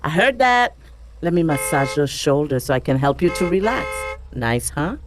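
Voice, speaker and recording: A woman's voice: low voice, very animated, normal speed, background noise. A woman playing a domineering female pageant coach, coaxing and dismissive, in a staged drama. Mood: controlling, menacing. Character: domineering, predatory female pageant coach, smooth-talking and pushy.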